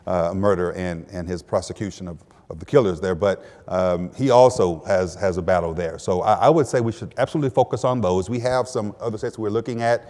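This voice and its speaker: Halting man in a reverberant hall. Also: deep voice, a little mellow. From Texas, US. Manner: halting, repeats words, many fillers. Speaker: panel guest, attorney general. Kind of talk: panel discussion.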